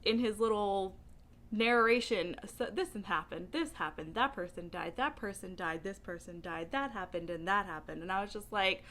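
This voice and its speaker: Bright-voiced woman chatting about books. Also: mid-range voice, normal speed, a little hiss or hum. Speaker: book reviewer vlogger. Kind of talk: casual book chat to camera.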